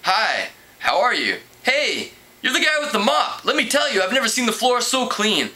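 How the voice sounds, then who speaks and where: A man's voice: very high voice, big pitch swings, normal speed, pushed and bright, pitched above the performer's own voice, full character voice. A man voicing a harried, overworked newspaper reporter in a storybook, informal and grumbling. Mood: casual, friendly.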